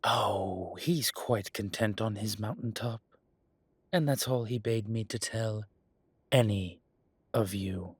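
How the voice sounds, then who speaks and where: An ancient, dangerous vampire elder acted by a man for an audio drama. His voice is very husky and he takes his words slowly and deliberately, proud and quietly menacing. It is recorded dry and close. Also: lowish voice, dry recording. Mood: firm, calm. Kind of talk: audio roleplay drama acting.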